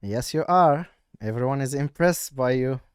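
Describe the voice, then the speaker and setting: A man with a Moroccan accent, live-streaming a casual, teasing chat, halting now and then with fillers. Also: mid-range voice, lively intonation, smooth, no echo, studio quality.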